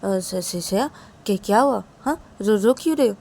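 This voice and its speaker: Nervous stammering young woman voiced by a woman, repeated syllables, mid pitch, gravelly texture. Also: expressive, moderate pace, warm tone. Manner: heavy stutter, repeating syllables.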